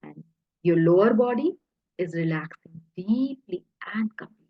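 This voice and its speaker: A woman leading a guided hypnosis relaxation in a soothing, deliberate way, pausing between short phrases, with a husky, breathy voice. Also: fairly low pitch, animated, no echo, dull audio.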